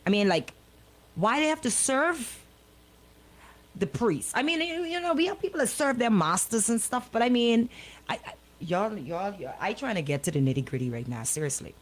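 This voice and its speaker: A woman's voice: a little high, sing-song, normal speed. A woman hosting a casual live talk show, questioning people incredulously and repeating her words for emphasis. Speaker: radio show host.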